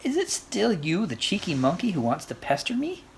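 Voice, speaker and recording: A storyteller voicing a young male bunny in a children's storybook, earnest, sensitive and friendly, with expressive swoops. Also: mid-range voice, moderate pace, pitched above the performer's own voice. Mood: indignant. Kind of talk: children's storybook read aloud. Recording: faint background noise.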